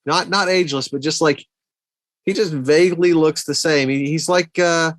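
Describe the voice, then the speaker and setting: A man's voice: a little high, steady tone, moderate pace, no room sound. Man chatting casually, close and dry. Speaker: podcast guest or co-host, movie fan. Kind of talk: casual film discussion.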